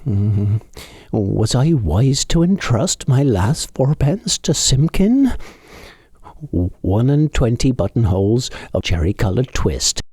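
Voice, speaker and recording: A poor old tailor, put on by a male reader, who frets over his unfinished work in a high, quavering mutter. He drags out his worries slowly and sounds husky, close and dry, like a storybook told at the fireside. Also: very animated, mellow, dry recording, exaggerated voice. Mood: musing. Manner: anxious, slow lamenting mutter.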